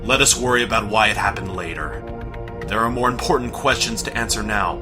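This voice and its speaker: Man playing commanding low-voiced fire warrior hero. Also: brisk, lightly acted. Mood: firm, urgent. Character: heroic male fire warrior leader, robotic being, resolute. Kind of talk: fantasy audio drama acting. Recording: music behind.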